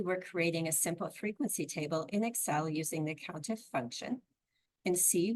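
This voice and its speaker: A woman instructor with a froggy, hoarse voice, calmly explaining a software tutorial step by step. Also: fairly low pitch, low-quality recording. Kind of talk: screen-recorded software tutorial.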